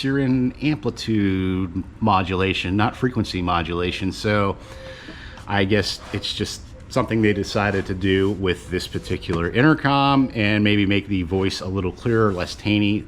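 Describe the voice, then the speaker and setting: A man with a fairly low voice hosts a casual demonstration video. He talks in a loose, conversational, explanatory way, wandering a little as he goes. There is faint noise behind him. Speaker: video host and presenter. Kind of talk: casual unscripted product demonstration.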